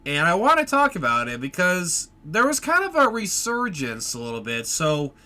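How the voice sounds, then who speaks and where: A man's voice: high-pitched, huge pitch swings, moderate pace, pushed and bright. A man hosting his own podcast in an upbeat, chatty way, sounding nasal and stuffed up from a cold, with frequent fillers and restarts. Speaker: podcast host. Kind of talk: casual solo podcast talk.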